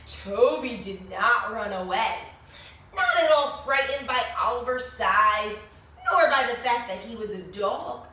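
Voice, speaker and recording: A woman reading a picture book to young children in an expressive, sing-song way, pausing to explain things and ask questions. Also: on the high side. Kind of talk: animated read-aloud story for young children. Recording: very echoey room, distant mic, faint noise.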